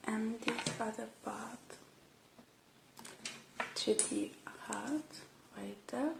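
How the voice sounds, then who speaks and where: A woman doing a soft ASMR roleplay, murmuring and whispering step by step in a hushed, hesitant way. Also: mid-range voice, creaky, roomy sound, noisy background. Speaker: ASMR roleplay creator playing a robot repair technician.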